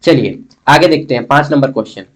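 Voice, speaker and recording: A man's voice: medium pitch, animated, quick pace. A man with a Jharkhand Hindi accent giving an informal exam-prep lesson as a teacher, conversational, repeating key terms and answering his own questions. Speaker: history teacher.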